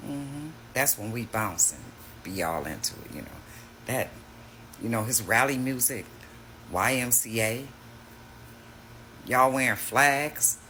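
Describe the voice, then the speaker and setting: Animated mocking man, bright voice. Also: medium pitch, slow, pauses often, a little hiss or hum, low-quality recording. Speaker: host reacting to a video. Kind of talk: casual reaction commentary.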